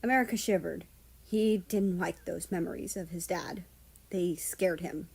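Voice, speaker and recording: A woman's voice: fairly low pitch, moderate pace, gravelly, darkish tone, slightly rough. A woman reading a third-person fan fiction story aloud as a narrator.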